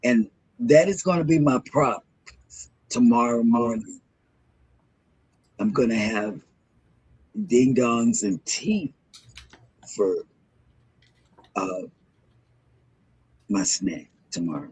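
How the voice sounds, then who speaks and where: A man hosting a morning show in casual, rambling chat, with a throaty, hoarse voice from a sore throat. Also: medium pitch, lively intonation, slow, long pauses, a little mellow, poor audio.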